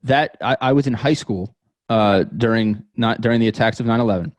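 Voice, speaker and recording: An American man in his thirties telling personal stories as an interview guest, thoughtful and halting, often repeating words as he goes. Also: medium pitch, no room sound. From New York metropolitan area, United States. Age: 30s. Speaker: podcast guest. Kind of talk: reflective interview storytelling.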